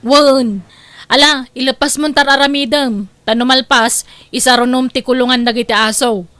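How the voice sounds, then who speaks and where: A woman's voice: high voice, steady tone, normal speed, fairly bright tone, clear, resonant tone, no room sound. A woman playing a bossy, scheming housemaid who grumbles easily, in an Ilocano comedy drama. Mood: bossy, annoyed. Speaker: voice actress.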